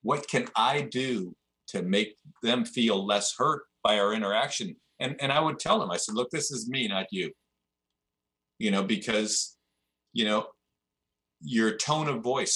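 A middle-aged martial arts instructor talking earnestly and haltingly as a podcast guest. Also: male voice, fairly low pitch, sharp tone. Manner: earnest, halting, restarts often. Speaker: jiu-jitsu instructor. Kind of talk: casual chat.

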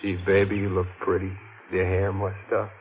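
An actor playing a panicked, guilt-ridden young newlywed husband on the run, agitated and stammering, in 1940s radio style. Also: male voice, deep voice, monotone, normal speed, close to an everyday voice. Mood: weary, brooding. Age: young adult. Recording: scratchy, tinny 1940s radio recording.

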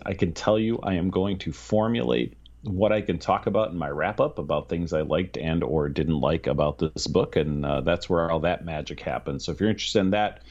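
A man's voice: deep voice, highs cut off. A man co-hosting a book podcast in a casual, rambling way, often restarting his sentences. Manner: rambling, self-correcting, candid. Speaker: podcast co-host.

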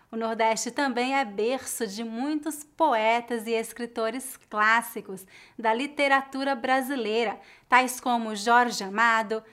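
Brazilian woman teaching, são paulo accent, slightly high voice, calm and clear. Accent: São Paulo Portuguese. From Northeast Brazil. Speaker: language teacher. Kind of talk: scripted educational narration.

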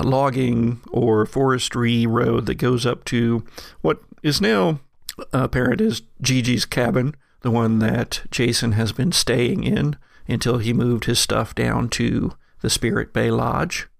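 A game master narrating a tabletop roleplaying game, explaining the scene in a halting, careful way. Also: mid-range voice, fairly even intonation, creaky, no echo, studio quality.

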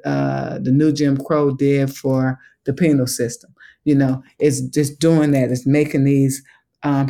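A man's voice: mid-range voice, vocal fry, darkish tone. A man from Chicago with a Chicago accent carrying a Southern twang, a museum education director speaking as an interview guest, thoughtful and enthusiastic, laughing easily. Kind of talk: conversational interview.

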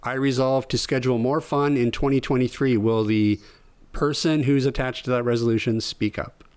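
A man with an average-pitched voice leads a casual group podcast game. He reads out items clearly and breaks into easy laughter between them. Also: fairly even intonation, moderate pace, darkish tone. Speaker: podcast host.

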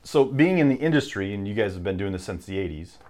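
Low, lively-pitched male interviewer, halting casual questions.

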